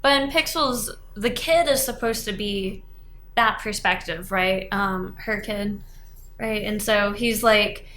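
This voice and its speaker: A woman with a bright, forward voice chats casually on a podcast panel. It sounds roomy and echoey, with clear background noise. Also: mid-range voice, takes it slowly. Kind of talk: casual chat.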